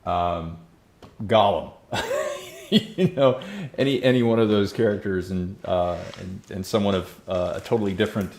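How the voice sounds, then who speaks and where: A man with a low voice hosts a casual talk show over a call. He talks in loose, chatty bursts and often restarts his phrases. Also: normal speed, darkish tone. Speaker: podcast host.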